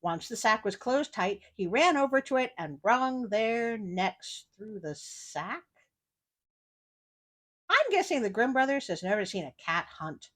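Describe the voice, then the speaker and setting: A woman's voice: a little high, big pitch swings, measured pace, fairly bright tone. A woman reading a fairy tale aloud as a storyteller, breaking off for casual, sardonic asides. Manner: sardonic, wry commentary. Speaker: storyteller, host of a story show.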